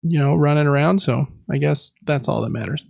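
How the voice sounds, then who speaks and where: A man's voice: a little high, a little fast, vocal fry, a little mellow, no echo. A man in his thirties hosting a casual podcast, rambling along in a chatty, self-deprecating way. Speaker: podcast host. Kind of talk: casual chat about games and life.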